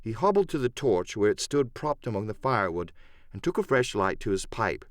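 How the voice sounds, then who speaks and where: A man's voice: lowish voice, big pitch swings, a little fast, dry recording, clean studio sound. A man reading dramatic first-person narration for an adventure audiobook.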